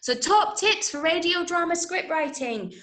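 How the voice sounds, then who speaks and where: A woman's voice: very high-pitched, lively intonation, measured pace, pushed and bright. A woman from Manchester with an English accent, teaching a script-writing workshop and often checking that listeners follow. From Manchester, England. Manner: explanatory, checks understanding with questions. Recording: roomy, echoing room sound.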